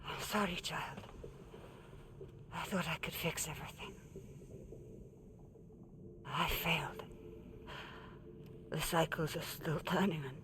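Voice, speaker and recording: An actress playing a solemn, regretful older woman mentor in a video game, tender and grave, with a breathy, often hushed delivery. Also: low voice, slow, pauses often. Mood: remorseful. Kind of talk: cinematic video game acting. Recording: noisy ambience behind the voice.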